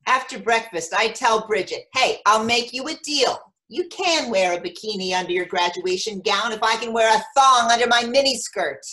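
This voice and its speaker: High, bright frank mother played by a woman. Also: normal speed, hardly any pauses, rough recording. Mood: matter-of-fact. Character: frank mother bargaining with her teenage daughter. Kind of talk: readers theatre monologue.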